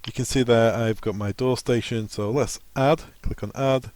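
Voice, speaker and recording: A man's voice: medium pitch, steady tone, normal speed, a little mellow. A man presenting an informal technical training walkthrough, explaining each step plainly as a trainer. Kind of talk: software walkthrough tutorial, informal instruction.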